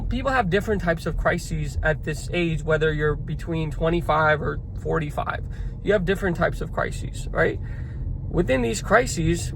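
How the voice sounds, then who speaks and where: A man's voice: on the high side, fairly bright tone, poor audio. A young man talking to camera from his car on his way to lunch, rambling and reflective, restarting his sentences often. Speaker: vlogger. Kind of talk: casual talking-to-camera vlog. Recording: faint car noise behind.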